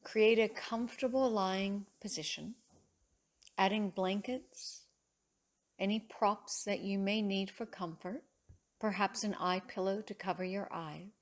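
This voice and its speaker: A woman yoga teacher leads a guided meditation at a very slow pace. Her mid-pitched voice is soothing and measured. She leaves long pauses between short phrases of gentle instruction. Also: fairly even intonation.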